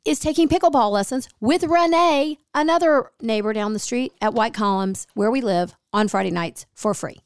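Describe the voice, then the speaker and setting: A middle-aged woman chatting on her podcast, telling stories and playing out both sides of the conversation. Her voice is a little gravelly and hoarse, with a medium pitch. The sound is close and dry. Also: normal speed, few pauses, dry recording. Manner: chatty storyteller, acts out quoted dialogue. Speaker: podcast host. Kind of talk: casual chat.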